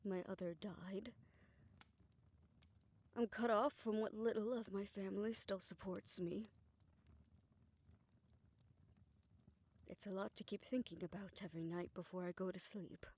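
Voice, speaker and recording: A woman playing a weary, affectionate older woman in an intimate romantic audio roleplay, speaking softly and reflectively in a measured way. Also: mid-range voice, fairly even intonation, natural voice. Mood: worried, melancholy.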